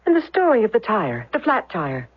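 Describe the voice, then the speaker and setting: An actress playing a distressed, suspicious wife in an old-time radio drama, pressing and accusing with emphasis. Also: female voice, medium pitch, wildly swooping pitch, moderate pace, breathy edge, no room sound. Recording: dry, muffled radio drama broadcast.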